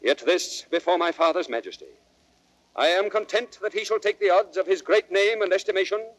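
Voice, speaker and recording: A gallant young prince played by a male actor in a Shakespearean audio drama. His voice is a bit high for a man, and he declaims with courteous, formal earnestness. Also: fairly quick, close to an everyday voice. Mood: bold challenge. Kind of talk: classical Shakespearean radio drama acting.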